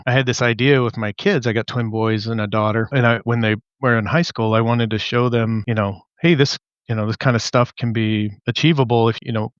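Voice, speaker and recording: A man's voice: medium pitch, a bit bright, smooth, no echo, lo-fi sound. A man in his forties, a mechanical engineer, chatting at length on a podcast video call, rambling. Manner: talkative, rambling. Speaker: guest, mechanical engineer and studio founder. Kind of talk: casual podcast chat.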